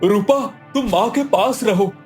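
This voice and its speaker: A man's voice: sits high, slow, darkish tone, faint music behind. A man playing a poor, kind-hearted young villager in a cartoon moral story, earnest and pleading. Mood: worried, urgent.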